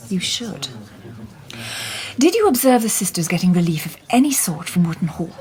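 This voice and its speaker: A poised Victorian lady detective speaks in a soft, breathy near-whisper, her voice pitched a little low. She sounds shrewd and measured, every line carefully placed, in the style of a radio drama. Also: sing-song. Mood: measured, knowing.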